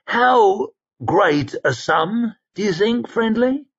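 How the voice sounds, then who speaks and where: A man voicing Koska, a scheming, grandiose male adventurer who looks down on ordinary people, in a haughty, drawn-out way. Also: high-pitched, lively intonation, vocal fry, husky, dull audio, pitched above the performer's own voice, full character voice. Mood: amused. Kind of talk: audiobook character reading.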